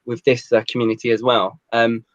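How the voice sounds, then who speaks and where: A man's voice: medium pitch, flat delivery, a little fast, no room sound, highs cut off. A man from London, a guest on a video call, talking casually with many hesitations. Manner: hesitant, lots of ums and kind ofs.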